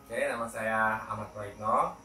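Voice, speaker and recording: Male teacher as podcast guest, conversational and a bit halting, echoey room, slightly off-mic. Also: lowish voice, steady tone, sharp tone. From Palembang, South Sumatra, Indonesia. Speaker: interview guest, schoolteacher. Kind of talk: casual conversational storytelling. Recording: roomy echo, distant mic, faint music.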